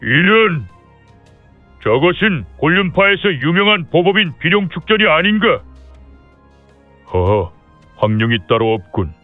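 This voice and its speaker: A man's voice: fairly high pitch, big pitch swings, measured pace, pauses often, no echo. A man voicing an old, shrewd Buddhist temple abbot who mutters and chuckles while watching a duel in an audio drama. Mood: marveling. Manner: chuckling "heh heh", muttering asides. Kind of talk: audio drama character acting. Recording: tinny phone-like dry sound, faint music.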